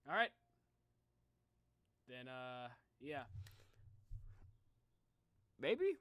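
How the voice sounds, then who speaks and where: A man streaming a casual reaction, thinking out loud in slangy talk and addressing his viewers directly. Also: medium pitch, huge pitch swings, drawn-out words, smooth, no room sound. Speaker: streamer, video host. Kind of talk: casual livestream reaction chat.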